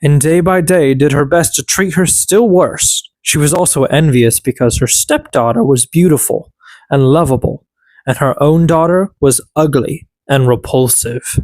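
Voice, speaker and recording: An audiobook narrator reading a fairy tale as expressive scripted narration. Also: mid-range voice, normal speed, no echo.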